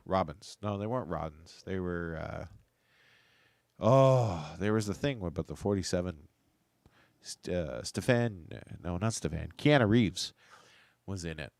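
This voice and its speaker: Low-voiced man with a stuffed-up nose. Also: animated, a little slow, creaky, darkish tone, no echo, studio quality. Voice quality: nasal. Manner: rambling, chuckling, loses his train of thought. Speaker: livestream host. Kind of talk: casual solo livestream chat.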